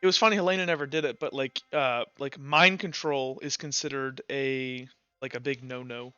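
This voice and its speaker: A man casually running a tabletop roleplaying session as game master, talking in a halting, informal way. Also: medium pitch, steady tone, sharp tone, clear, resonant tone, dry recording, muffled recording.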